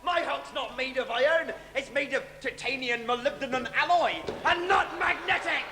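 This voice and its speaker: Pantomime villain: blustering hook-handed pirate captain, played by a student, high voice, theatrical, echoey stage recording. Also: moderate pace, over music, crowd noise. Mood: gloating, cursing. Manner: theatrical, exclaiming. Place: live student stage show.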